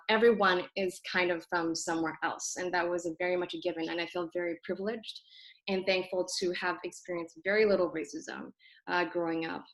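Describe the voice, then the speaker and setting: A woman's voice: fairly low pitch, low-quality recording. A young woman from Toronto whose first language is Cantonese, hosting a group video call from her apartment, speaking thoughtfully with many ums and self-corrections. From Toronto, Canada. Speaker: host of a community video call discussion. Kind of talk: casual, earnest introduction to an online group talk. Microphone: computer on a video call.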